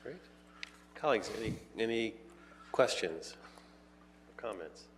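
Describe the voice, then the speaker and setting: A man runs a city committee hearing in a low voice. He speaks loosely, repeating words and filling gaps with small hesitations. A faint hum sits behind him. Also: animated, unhurried. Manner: informal, repeats words, many uh fillers. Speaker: committee chair. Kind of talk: chairing a public hearing.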